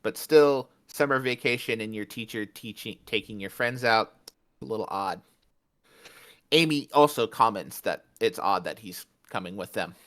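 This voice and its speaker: A man's voice: fairly low pitch, moderate pace, a bit bright. A man co-hosting a podcast, recapping an episode in a chatty, jokey way. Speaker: podcast co-host.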